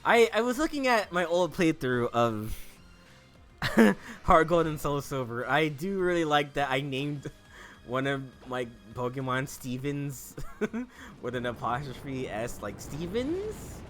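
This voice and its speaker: Man, gameplay commentary, high-ish voice, very lively pitch, animated, jokey. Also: takes it slowly, faint music behind. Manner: animated, joking, heavy use of "like". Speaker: let's play host.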